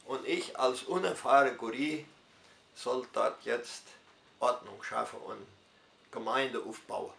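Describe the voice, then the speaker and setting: Older male pastor, Hunsrückisch German accent, gravelly voice, reverberant room. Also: mid-range voice, a bit bright. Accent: Hunsrückisch German of southern Brazil. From southern Brazil. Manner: unhurried storytelling with dialect forms and hesitations. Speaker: retired pastor interviewee. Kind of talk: personal life story in interview.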